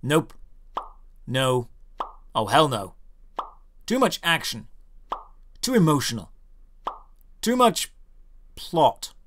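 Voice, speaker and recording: A man's voice: fairly high pitch, very animated, takes it slowly, pauses often, fairly bright tone, a little hiss or hum. A man hosting a scripted comedic game review, with a dry, sardonic and emphatic delivery. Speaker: video host, game reviewer.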